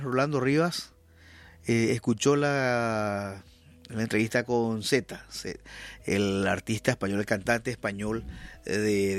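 A man's voice: mid-range voice, dry recording. A man with a Paraguayan accent hosting a talk radio show, chatting with guests and reading news items with frequent fillers. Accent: Paraguayan Spanish. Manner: hesitant with many fillers and restarts, then reading news steadily. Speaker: radio host.

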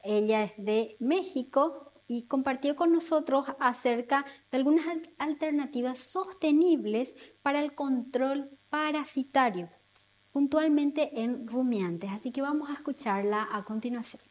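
A woman hosting a science program, introducing guests in a measured, formal way. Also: high-pitched, darkish tone. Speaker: host.

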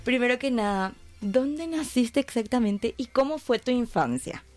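A woman's voice: a little high, animated, normal speed, darkish tone. Paraguayan woman hosting radio over music. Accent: Paraguayan Spanish. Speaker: radio host and interviewer. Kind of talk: live radio presenting and interviewing.

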